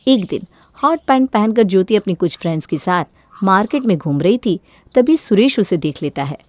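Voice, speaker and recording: A woman's voice: medium pitch, expressive, moderate pace, a little mellow, no room sound. A woman narrating a scripted moral story as a storyteller.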